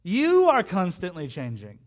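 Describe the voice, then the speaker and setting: A man's voice: high-pitched, wildly swooping pitch, measured pace. A man in his thirties preaching a sermon in an emphatic way, repeating key phrases and slipping into conversational asides. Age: 30s.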